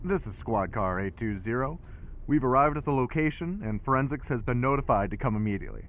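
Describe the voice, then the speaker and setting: A man's voice: medium pitch, lively intonation, fast, phone-quality sound, lightly acted. A man playing a polite, eager patrol officer in an audio drama, procedural and deferential. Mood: dutiful. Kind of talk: naturalistic audio drama acting.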